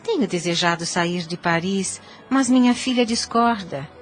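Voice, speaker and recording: A woman's voice: mid-range voice, a little slow, lightly acted. An actress playing a courteous, frail middle-aged mother in an audio drama, speaking politely and formally. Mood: regretful. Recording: background ambient noise.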